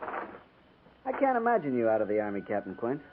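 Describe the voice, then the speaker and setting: Casting: respectful cavalry lieutenant, 1950s radio western, expressive mid voice, faint hiss, earnest military manner. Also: male voice, mid-range voice, normal speed, narrow, tinny audio. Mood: sympathetic. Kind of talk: 1950s radio drama acting. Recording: thin, faintly noisy 1950s radio recording.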